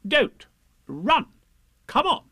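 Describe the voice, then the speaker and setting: A very high-pitched, officious rabbit from a children's storybook. He is self-assured and brisk, with lively swings in pitch and a dry, close sound. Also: husky, no room sound, full character voice. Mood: bossy, impatient. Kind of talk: children's storybook character reading.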